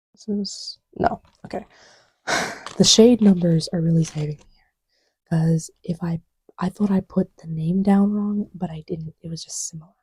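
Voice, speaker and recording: A woman speaking softly and often half-whispering, murmuring to herself in a casual, ASMR-style ramble. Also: mid-range voice, breathy edge, dry recording. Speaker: audio creator.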